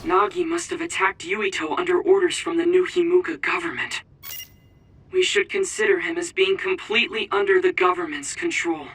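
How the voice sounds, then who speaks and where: An actor playing a young psychic soldier in a video game. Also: male voice, high voice, expressive, normal speed, a bit bright, recorded from a distance, a little hiss or hum. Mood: urgent, serious. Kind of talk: English video game cutscene dub.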